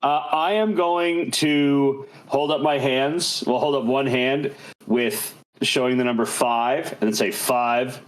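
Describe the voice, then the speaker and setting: Mid-pitched man playing a tabletop game. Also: fairly even intonation, slow, poor audio. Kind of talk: casual in-game chat.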